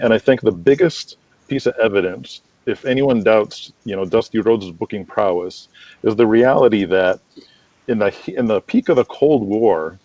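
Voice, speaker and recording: A man being interviewed as a guest, chatting casually in an enthusiastic, rambling, halting way. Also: lowish voice, a little mellow, no room sound, dull audio. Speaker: interview guest, wrestling promoter and booker.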